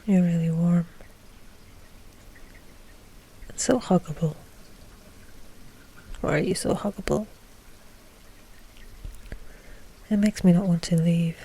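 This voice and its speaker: Woman acting as a slow, mellow, anxious vampire lady. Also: lowish voice, slightly rough. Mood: cozy, affectionate. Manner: soft, hesitant, sighing. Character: anxious, tender vampire noblewoman, affectionate and flustered. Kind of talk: scripted ASMR roleplay.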